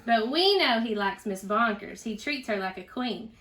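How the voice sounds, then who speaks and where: A woman reading a children's storybook aloud in an expressive, teacherly way, with chatty asides and questions to a young listener. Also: a little high, normal speed. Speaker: parent reading a storybook aloud for a school read-aloud.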